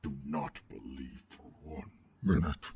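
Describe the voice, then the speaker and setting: A man voicing a menacing, coldly controlled alpha werewolf in an ASMR roleplay, gruff and growling, speaking formally and deliberately in a half-whisper. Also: rumbling low, huge pitch swings, noisy background, full character voice. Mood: threatening. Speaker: voice actor.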